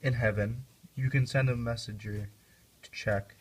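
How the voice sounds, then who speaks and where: A man's voice: medium pitch, steady tone, measured pace, husky, rough recording. A man playing a brooding, accusing, half-mad young prince in an amateur puppet-show play. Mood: mocking.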